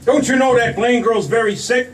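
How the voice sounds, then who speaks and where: An actor playing a grief-stricken, drunken older druggist who bullies angrily, in 1940s radio drama style. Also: male voice, very high voice, normal speed, roomy sound. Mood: angry, drunken. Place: theater stage. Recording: distant stage pickup with faint noise.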